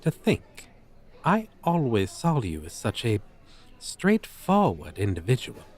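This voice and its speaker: Male actor as a haughty male dragon teacher, slow deliberate delivery, swooping intonation, pompous formal diction, ASMR roleplay. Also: mid-range voice, wildly swooping pitch, darkish tone, exaggerated voice. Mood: intrigued. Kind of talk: ASMR roleplay, character acting.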